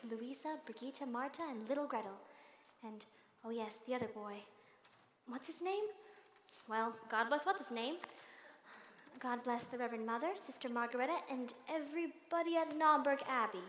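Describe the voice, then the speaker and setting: An actress playing a young, devout governess who speaks warmly and earnestly, in classic film musical style. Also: female voice, fairly high pitch, natural voice. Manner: warm and earnest. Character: young woman governess, cheerful, devout, motherly. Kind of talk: 1960s film musical acting. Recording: roomy reverb, faint film score.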